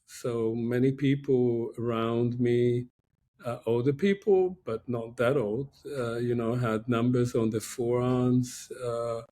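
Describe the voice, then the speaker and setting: Man in his sixties, from Israel, mellow tone, measured and thoughtful, video call from home. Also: mid-range voice. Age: 60s. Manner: measured, thoughtful, many pauses and "uh"s. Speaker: guest professor. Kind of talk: reflective interview answers. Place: home room indoors.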